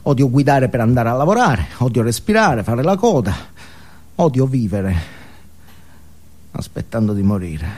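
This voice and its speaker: A man's voice: medium pitch, big pitch swings, moderate pace, long pauses, a little mellow. An actor playing a bitter, foul-mouthed male drug addict, ranting crudely in a theatrical comic monologue. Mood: groggy, disgusted. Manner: ranting, crude, swinging from mutter to shriek. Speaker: stage actor performing monologues.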